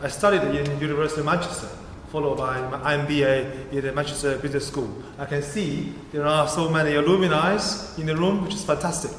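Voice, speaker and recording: A man with a Chinese accent gives an earnest candidate's speech, his phrasing halting and non-native. His voice sits slightly high for a man. The sound rings with the long echo of a big church hall. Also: fairly even intonation, fairly bright tone, a little hiss or hum. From China. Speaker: parliamentary candidate. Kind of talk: hustings speech. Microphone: far room mic, standing speaker.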